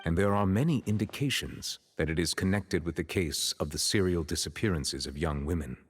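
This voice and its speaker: A man's voice: low voice, lively intonation, fairly quick, in a large hall, slight background noise. A man playing a stern, composed guard captain in an anime-style game, speaking in a formal, commanding way. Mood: grave, calm.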